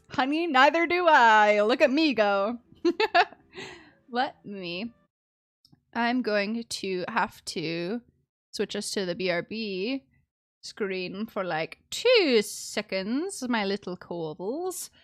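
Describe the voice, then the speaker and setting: A woman's voice: medium pitch, animated, slow, fairly bright tone, no room sound. Chatty woman streamer, dry close sound. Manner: giggly, chatty. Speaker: game streamer. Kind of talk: casual live-stream game commentary.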